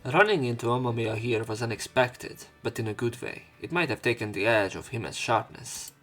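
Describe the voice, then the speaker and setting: A man's voice: mid-range voice, moderate pace, fairly bright tone, faint music behind. A man hosting a let's play, reading a visual novel aloud theatrically and adding casual commentary.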